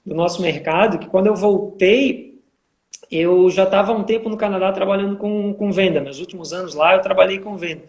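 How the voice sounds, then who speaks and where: A man talks in a bright, high register, explaining things patiently with plenty of fillers. His voice carries the boom of an echoey room, heard over a video call. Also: vocal fry. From Florianópolis, southern Brazil. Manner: measured, explains with many fillers. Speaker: guest, vacation rental manager. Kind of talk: casual live interview.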